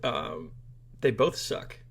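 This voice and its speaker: A man's voice: on the low side, sing-song, takes it slowly, gravelly, husky. A man co-hosting a podcast, giving casual film opinions in a blunt, slangy way. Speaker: podcast co-host. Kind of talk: casual film review chat.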